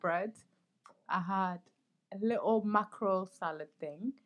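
A woman's voice: mid-range voice, measured pace, muffled recording. A young woman chatting casually with a friend, teasing and laughing often. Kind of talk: casual chat.

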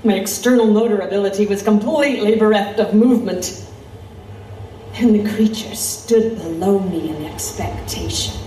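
A woman's voice: medium pitch, moderate pace, dark tone, slight background noise, natural voice. A woman playing an intrepid Victorian reporter, telling a horror adventure as dramatic scripted narration. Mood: tense recounting. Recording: echoey, distant, music underneath.

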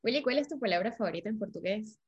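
A woman from Venezuela, interviewing a guest in a warm, effusive, casual way. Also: mid-range voice, expressive, quick pace, muffled recording. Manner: warm, effusive, laughs easily.

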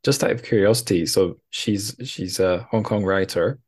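A man hosting a casual interview, asking questions in a halting way and repeating words as he goes. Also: low voice, a little mellow, breathy edge. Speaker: podcast host, interviewer.

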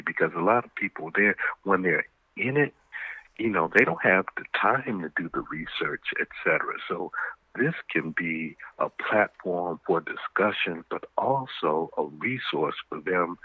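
Low-voiced man on a phone line. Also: animated, normal speed, husky, dry recording. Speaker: stage play cast member, panel guest. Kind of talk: conversational, informative interview talk. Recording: muffled phone-like radio line.

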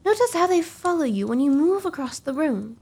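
A woman plays an excitable young lady in a gothic comedy audio drama. Her voice is high and theatrical, full of spooky wonder. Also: a little fast. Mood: eerie, melodramatic.

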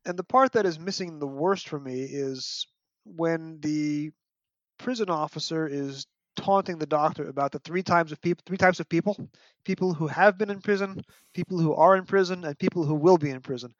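Higher-voiced man, opinionated storyteller. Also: moderate pace, dry recording. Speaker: podcast guest, fan and parent. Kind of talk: casual book discussion. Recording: dull, dry lo-fi audio.

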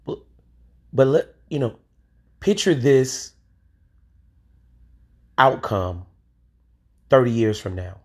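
A man's voice: mid-range voice, lively intonation, takes it slowly, pauses often. A man in his forties hosting a solo podcast, talking in an emphatic, opinionated way with occasional swearing. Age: 40s. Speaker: podcast host.

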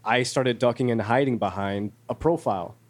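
A man's voice: mid-range voice, moderate pace. A young man with an ordinary mid-range voice shares his own experiences in a podcast conversation. He is candid and self-reflective, and he talks things through openly. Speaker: podcast guest. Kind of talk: personal reflection in casual chat.

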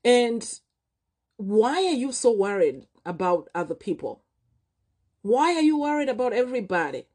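A woman's voice: a little high, normal speed, voice pushed up. A woman quoting her blunt, wise mother. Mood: probing. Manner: calm, probing questions.

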